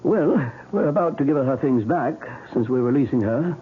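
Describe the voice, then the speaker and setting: An actor playing an even-tempered, wry hospital doctor in a radio drama, casual and explanatory. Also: male voice, mid-range voice, normal speed, a little hiss or hum, close to an everyday voice. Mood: matter-of-fact. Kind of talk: 1970s radio drama acting. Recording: tinny narrow-band old radio recording.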